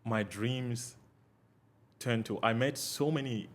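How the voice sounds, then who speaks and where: A man's voice: medium pitch, takes it slowly. A young man from Cameroon, a podcast guest, speaking earnestly and reflectively with many "you know" fillers. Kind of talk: casual interview talk.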